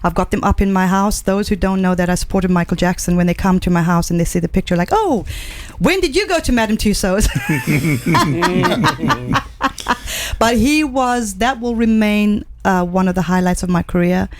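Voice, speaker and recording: A woman's voice: mid-range voice, expressive, normal speed. Enthusiastic middle-aged woman from Zambia. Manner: warm, enthusiastic storytelling with fillers. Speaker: singer, radio guest. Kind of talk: casual interview chat. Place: radio studio. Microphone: studio microphone.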